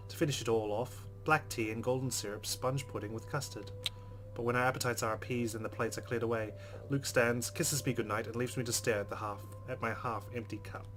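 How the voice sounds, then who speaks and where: A man's voice: mid-range voice, fairly even intonation, fairly quick, noisy background. A man playing a visual novel, reading its narration and adding wry, amused asides. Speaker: let's play gamer. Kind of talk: reading game text and reacting.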